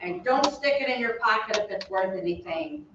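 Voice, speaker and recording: A woman playing a brisk, commanding army sergeant who gives clipped orders in an old-time radio play. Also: medium pitch, lively intonation, lo-fi sound, close to an everyday voice. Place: theatre stage, heard from off. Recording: echoey distant stage, clear noise.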